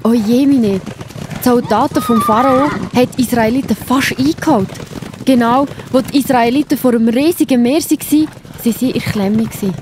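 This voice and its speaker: A woman with a Swiss accent narrating Bible stories for children in an expressive, enthusiastic, simple way. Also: on the high side, normal speed, background noise. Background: music behind the voice.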